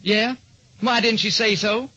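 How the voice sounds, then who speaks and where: Man acting as brash police lieutenant, high sharp voice, cocksure, old radio crime drama, muffled vintage sound. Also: a little slow, heavily acted. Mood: needling, smug. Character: cocksure, hasty male homicide police lieutenant. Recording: dull old-time radio recording.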